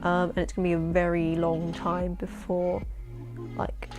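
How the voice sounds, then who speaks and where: Husky London woman chatting over music. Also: on the low side. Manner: chatty, excitable, quick asides and laughs. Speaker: poet and vlogger. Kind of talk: casual vlog chat to camera. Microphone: camera-mounted shotgun mic.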